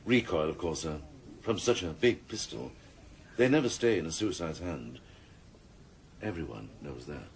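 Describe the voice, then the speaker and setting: A man's voice: sits low, animated, normal speed, pauses often, distant mic, a little hiss or hum. A man playing a sardonic, slippery British senior policeman in a radio drama, suave and mock-solemn with ornate, pompous phrasing. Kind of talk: 1990s radio drama acting.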